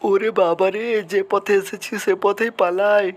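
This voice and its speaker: A man's voice: high-pitched, fairly even intonation, moderate pace, natural voice. A man voicing a gullible, lovesick tiger in a children's folktale cartoon, exclaiming theatrically. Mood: alarmed. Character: lovesick, gullible male tiger looking for a bride.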